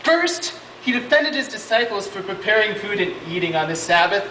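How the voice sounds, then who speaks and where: A student actor playing a grumpy, scheming Pharisee in an amateur skit, talking in a hesitant deadpan way. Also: male voice, sits high, moderate pace, full character voice. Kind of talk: amateur class skit acting. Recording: roomy distant noisy home video.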